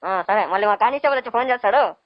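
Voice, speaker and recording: A woman's voice: medium pitch, lively intonation, very fast, no echo, close to an everyday voice. A woman playing a stern, threatening police officer on a prank phone call, questioning and scolding bossily in a Rayalaseema Telugu accent. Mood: bossy. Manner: bossy, interrogating. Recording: dull, lo-fi phone call recording.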